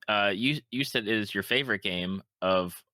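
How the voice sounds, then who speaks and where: A man's voice: fairly low pitch, moderate pace, pushed and bright, no echo. A man in his thirties or forties hosting a casual interview conversation. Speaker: podcast host.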